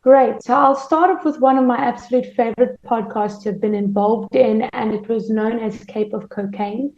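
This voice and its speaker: A woman's voice: on the high side, steady tone, normal speed, darkish tone. A woman explaining her work as an interview guest. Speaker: podcast producer and manager, interview guest. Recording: roomy, dull lo-fi sound.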